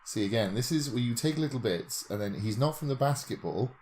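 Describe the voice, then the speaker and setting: A man's voice: mid-range voice, lively intonation, a little fast, darkish tone. A British man in casual, jokey podcast banter, rambling as he talks. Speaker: podcast co-host.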